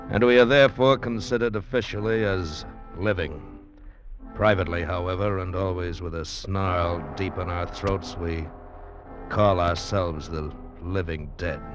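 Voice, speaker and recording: A very deep, brooding male convict, weary and bitter, from a vintage radio drama. The recording is dull and old, with faint music behind the voice. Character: bitter, weary convict barber in a French penal colony. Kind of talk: old-time American radio drama acting.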